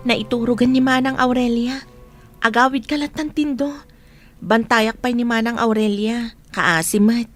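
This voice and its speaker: An actress with an Ilocano accent playing a caring, gently coaxing village friend in a radio drama. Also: female voice, a little high, steady tone, moderate pace, faint music behind, lightly acted. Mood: gentle, concerned. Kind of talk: Filipino radio drama acting. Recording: noisy track with background ambience.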